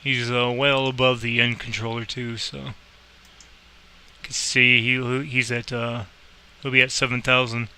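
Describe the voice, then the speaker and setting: A man's voice: mid-range voice, level tone, unhurried, bright, forceful tone, clean tone. A man giving a casual, rambling game tutorial, thinking aloud as he explains and making air traffic controller calls.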